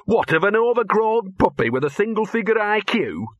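Storybook creature played by a man: streetwise, jealous little mongrel, sarcastic and sneering, forceful edge, close dry sound. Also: mid-range voice, sing-song, a little slow, dry recording, highs cut off. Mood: jealous, indignant. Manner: withering, sneering, muttering out of the corner of the mouth. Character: scruffy, cynical, sarcastic small male talking mongrel.

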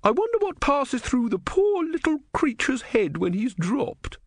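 A man putting on a shrill, pious young woman, pushed far above his own register. She speaks with fervent, high-strung zeal. The sound is dry and close. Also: sing-song, measured pace, no echo, full character voice. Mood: indignant.

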